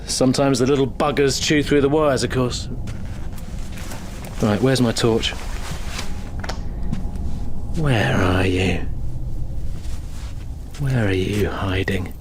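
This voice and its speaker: An actor playing a brash, self-important working-class British pest controller in a radio drama. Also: male voice, medium pitch, slow pace, long pauses, a little hiss or hum, close to an everyday voice. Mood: cocky, businesslike. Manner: colloquial, self-important, muttering to himself.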